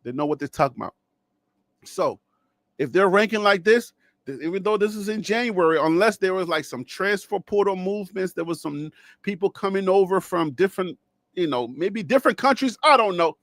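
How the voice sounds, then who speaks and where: High-voiced joking man with a dry sound. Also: animated, brisk, a bit bright, no echo. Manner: rambling, joking, laughs often, talks to viewers. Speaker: sports show host. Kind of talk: casual solo sports talk with jokes.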